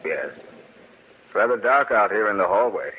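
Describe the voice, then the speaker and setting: An actor playing a mysterious, deferential night guard with quiet menace in an old-time radio horror drama. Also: male voice, lowish voice, expressive, measured pace, breathy edge. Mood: quietly ominous. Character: eerie, polite, mysterious night guard hiding his face. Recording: tinny, noisy old radio recording.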